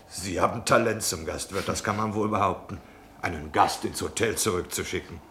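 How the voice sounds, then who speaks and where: A man's voice: low-pitched, moderate pace, a bit bright, slight background noise. An actor playing a talkative, nosy, self-important travelling salesman in a German radio play. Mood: indignant, mocking. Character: chatty, pushy, cocksure travelling salesman in knitwear.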